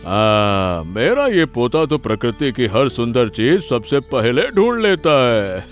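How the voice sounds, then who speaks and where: A man's voice: mid-range voice, sing-song, slow, faint music behind. A man voicing a genial, easily delighted old village grandfather in a cartoon story, hearty and given to repeated exclamations. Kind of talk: Hindi cartoon story dialogue.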